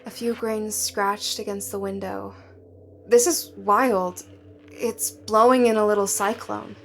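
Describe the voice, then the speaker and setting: A woman's voice: mid-range voice, fairly even intonation, slow pace, fairly bright tone, a little hiss or hum. An actress playing a curious, hesitant woman explorer in an audio drama, talking in a tentative, naturalistic way. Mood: wondering, uneasy.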